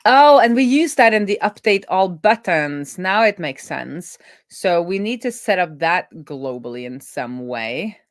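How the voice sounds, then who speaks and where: Low-pitched woman thinking aloud while coding. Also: lively intonation, a little slow. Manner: thinking aloud, talking through steps to herself. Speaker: web developer live-streaming a coding session.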